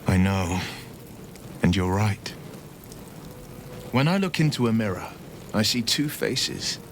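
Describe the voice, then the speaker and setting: A man's voice: fairly low pitch, animated, slow pace, a little hiss or hum. A man playing an earnest, eloquent young nobleman monster hunter in dramatic game dialogue, burdened by his past. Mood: solemn, wistful.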